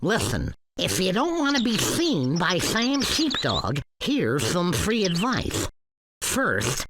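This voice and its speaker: A man voicing an excitable, sarcastic cartoon duck host giving brash, showy tutorials in a video game. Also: fairly high pitch, heavily acted. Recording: clearly noisy game audio capture.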